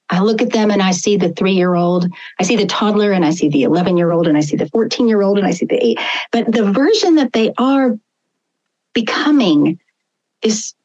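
A woman's voice: medium pitch, darkish tone. Woman host on dull lo-fi audio. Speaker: interview host. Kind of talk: conversational interview.

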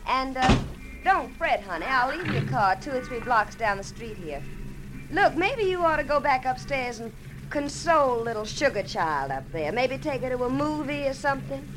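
An actress playing a cool, mocking thief with a Southern US accent in a 1940s radio noir drama, taunting and falsely sweet. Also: female voice, high voice, expressive, normal speed, noisy background. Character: cool, mocking female gun-toting thief.

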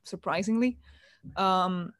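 A woman's voice: lowish voice, takes it slowly, dry recording. Woman guitarist chatting on a video call, dry sound, stops and restarts a lot. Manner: chatty, hesitant restarts, warm about family. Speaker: guitarist guest. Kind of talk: casual interview over video call. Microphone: computer on video call.

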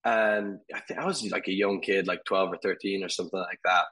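A man in his thirties, from Ireland, talking casually as an interview guest, rambling with fillers and soft laughs. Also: low-pitched, fairly even intonation, brisk. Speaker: guest, furniture company CEO.

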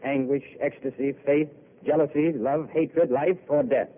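An actor playing a weary, eloquent intellectual who makes theatrical speeches, in old radio drama. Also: male voice, on the high side, steady tone, a little slow, close to an everyday voice. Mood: wistful. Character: weary poetic intellectual man, wistful and eloquent. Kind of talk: 1930s radio drama acting. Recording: tinny old broadcast with hiss.

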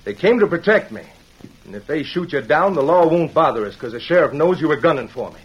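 An actor playing a crooked, scheming rancher in an old-time radio western. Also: male voice, on the high side, expressive, a little fast, slightly rough. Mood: defiant. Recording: dull, noisy vintage radio recording.

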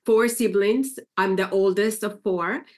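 A woman's voice: mid-range voice, steady tone, measured pace. A middle-aged woman from Puerto Rico, talking casually as a podcast guest and telling family stories. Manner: chatty, warm storytelling with fillers. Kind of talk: casual interview conversation.

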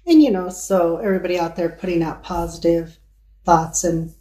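A woman's voice: on the low side, normal speed, warm tone. A woman hosting an interview, chatty and encouraging, often affirming her guest. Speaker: show host. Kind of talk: interview chat.

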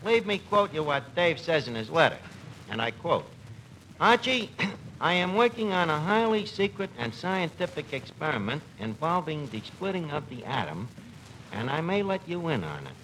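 A bright, high-voiced cocky New York tavern manager in a 1940s radio comedy. He swings through his lines with streetwise, pompous malapropisms and easy gullibility. The old broadcast recording has some background noise. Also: very animated, normal speed, rough recording. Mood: earnest, defensive. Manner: mangled pompous phrasing, comic delivery.

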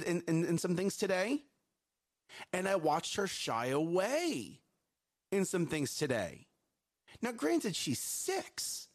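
Animated man giving an earnest pep talk. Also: high voice. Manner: earnest, repeats words and restarts often; strong voice that carries. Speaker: co-host of a live morning show. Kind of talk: motivational talk to a live audience.

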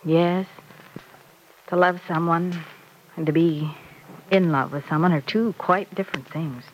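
An actress playing a patient, gently probing middle-aged mother in an old-time radio serial, speaking in a measured, coaxing manner. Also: lowish voice, fairly even intonation, slight background noise, close to an everyday voice, poor audio. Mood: reflective, tender. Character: gentle, probing mother advising her grown daughter.

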